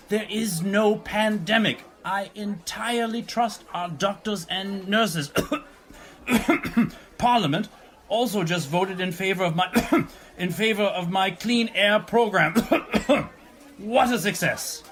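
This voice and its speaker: Man acting a coughing, high-pitched pompous male president. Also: slow pace, a bit bright, exaggerated voice. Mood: dismissive, defensive. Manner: stammering, repeats himself, constantly coughing. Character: pompous, dismissive, coughing president rallying a crowd. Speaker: actor in an audio drama. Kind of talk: political rally speech.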